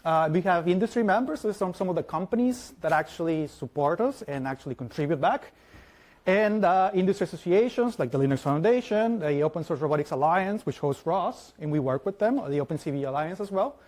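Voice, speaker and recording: Mexican guy talking fast at a tech conference, higher voice, keeps asking the crowd stuff. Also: lively intonation, darkish tone. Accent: Mexican Spanish. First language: Spanish. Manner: fast-talking, engages the audience with questions. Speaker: conference speaker. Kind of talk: lively tech conference talk. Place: large lecture hall.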